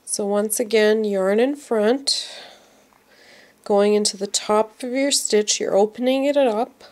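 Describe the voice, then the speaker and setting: A woman's voice: mid-range voice, slow pace, a little mellow. A woman teaching knitting step by step, sounding congested from a cold and repeating each move patiently. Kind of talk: slow step-by-step craft tutorial.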